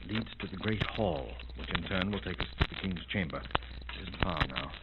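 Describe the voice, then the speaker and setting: An actor playing a doubtful, excitable gentleman, an adventurer's companion, in earnest 1930s radio drama style. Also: low-pitched, moderate pace. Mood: uneasy. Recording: noisy, muffled 1930s radio recording.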